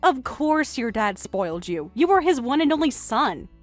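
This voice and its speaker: A woman's voice: high-pitched, sing-song, normal speed, no room sound, faint music behind. A woman voicing a mocking, self-assured jilted young woman in a melodramatic story, sarcastic and condescending. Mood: matter-of-fact, scornful. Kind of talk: melodramatic narrated story dialogue.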